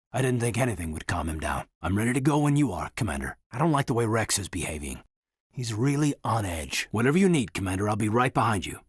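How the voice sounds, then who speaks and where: A dutiful space marine officer speaks in a husky, close and dry voice. His delivery moves expressively between guarded and earnest, with halting turns, as in dramatic video game dialogue. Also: medium pitch, a bit bright, dry recording. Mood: dutiful, steady. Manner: hesitant, earnest, halting when personal. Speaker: video game character voice actor.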